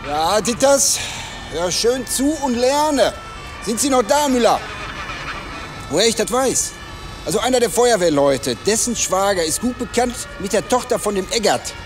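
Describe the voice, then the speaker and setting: An actor playing a chatty, self-satisfied local police detective in a naturalistic radio crime drama, talking colloquially. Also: male voice, sits high, expressive, normal speed, distant mic, over music. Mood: smug, chatty.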